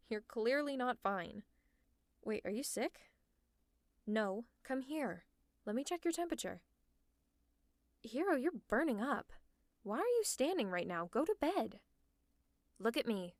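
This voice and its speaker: A woman's voice: mid-range voice, no echo, clean studio sound. A woman playing a sarcastic but caring female supervillain in an audio roleplay, teasing and exasperated, with sighs and laughs. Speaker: ASMR roleplay narrator.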